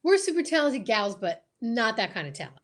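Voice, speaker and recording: A woman with a fairly high voice co-hosts a chatty live show. She is excitable and effusive, often exclaiming. Her talk is casual banter. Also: fairly quick. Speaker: co-host of an online comedy show.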